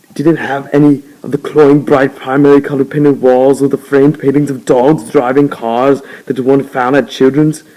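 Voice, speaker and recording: A man's voice: medium pitch, level tone, moderate pace, few pauses, warm tone, poor audio. A man narrating a novel aloud as an amateur reader.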